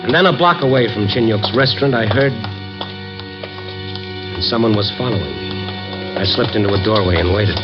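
An actor playing a streetwise, persistent reporter in an old radio noir drama, with a wry, clipped delivery. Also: male voice, fairly low pitch, expressive, normal speed, pauses often, lightly acted. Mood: tense, brooding. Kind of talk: 1940s-50s radio noir acting and first-person narration. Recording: muffled old radio recording, music behind.

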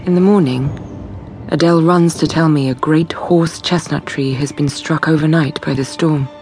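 An actress playing a young governess who narrates her own story with measured intensity in a radio drama. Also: low-pitched, fairly even intonation, a little mellow. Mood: intimate, intense. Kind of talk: scripted first-person radio drama narration. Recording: prominent music under the narration.

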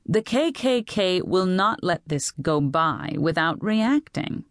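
A woman's voice: mid-range voice, sing-song, normal speed, no echo, clean studio sound, pitched above the performer's own voice. A woman voicing a worried, strict mother in an audiobook, speaking firmly and with exasperation. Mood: anxious, insistent. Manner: firm, snapping, exasperated. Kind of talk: audiobook character voice.

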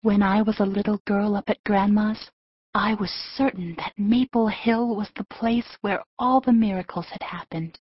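A woman's voice: medium pitch, steady tone, moderate pace, husky, dry recording, lo-fi sound, natural voice. A woman playing a patient, hopeful but anxious mother in a full-cast audiobook, speaking earnestly. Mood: wistful.

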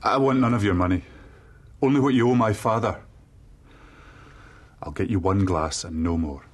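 Actor as earnest young inn lad. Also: male voice, on the low side, normal speed, natural voice. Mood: concerned. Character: earnest, polite young innkeeper's lad, anxious but plucky. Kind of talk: radio drama acting and storybook narration.

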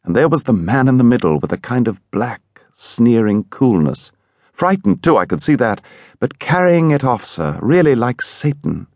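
A man playing an indignant English gentleman who recounts a horrible incident in a vivid, emphatic way, as audiobook storytelling. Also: medium pitch, sing-song, moderate pace, no room sound, studio quality, voice pushed up.

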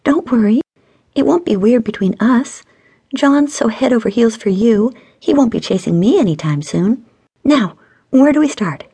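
A woman's voice: on the high side, expressive, moderate pace, mellow, slightly rough, no echo, voice pushed up, exaggerated voice. A woman narrator playing a flirty, pouting single woman with a teasing whine in an audiobook story. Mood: coaxing, playful.

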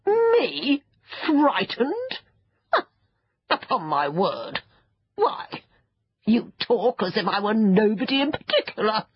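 A woman's voice: lowish voice, big pitch swings, measured pace, slightly rough, dry recording, muffled recording, exaggerated voice. A woman voicing an ancient, furry sand fairy from a children's storybook, crotchety, haughty and scornful. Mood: scornful, offended. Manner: scornful, peevish, self-important.

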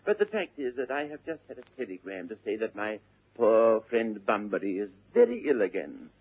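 An actor playing a witty, flippant upper-class English bachelor in a classic stage comedy, airy, glib and theatrical. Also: male voice, fairly low pitch, wildly swooping pitch, moderate pace, slightly rough, exaggerated voice. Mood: smoothly apologetic. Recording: muffled vintage narrow-band radio recording.

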